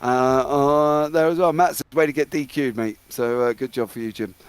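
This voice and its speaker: A man with a British accent calling a live sim racing broadcast, excitable play-by-play that rattles off driver names. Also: mid-range voice, normal speed, clear, resonant tone, dry recording. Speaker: race commentator.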